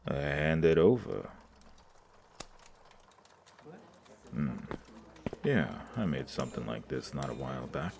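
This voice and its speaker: A very deep, gravelly, sardonic tradesman. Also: sing-song, normal speed, a little mellow, a little hiss or hum, exaggerated voice. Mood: gruff, sarcastic. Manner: blunt, sarcastic, folksy. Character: gruff, sardonic blind male key maker, chatty and blunt. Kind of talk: audio drama acting.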